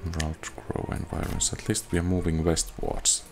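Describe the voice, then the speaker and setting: A man's voice: low-pitched, fairly even intonation, normal speed. A man giving casual gameplay commentary, musing and thinking aloud as he plays. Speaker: game streamer. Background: video game music.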